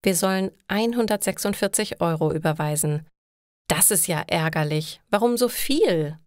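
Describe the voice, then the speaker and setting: A woman's voice: on the low side, lively intonation, moderate pace, clear, resonant tone, dry recording, studio quality, lightly acted. A woman voicing a practical, thrifty woman in an audio story, earnest and fretting over household matters. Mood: exasperated.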